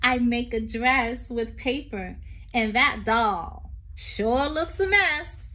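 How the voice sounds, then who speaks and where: A middle-aged woman reads a children's poem aloud in a high voice. Her pace is very unhurried, with drawn-out, sing-song emphasis and chatty teacherly asides. She is indoors in a small room, recorded on a phone at arm's length. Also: pushed and bright. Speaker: teacher reading to her class. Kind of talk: expressive picture-book read-aloud of a poem for young children.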